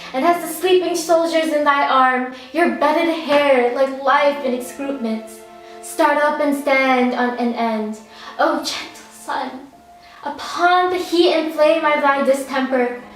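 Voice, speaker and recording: A woman performing a frightened, distraught queen and mother in a theatrical amateur Shakespeare reading. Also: sits high. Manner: theatrical, pleading. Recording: very echoey room, music underneath.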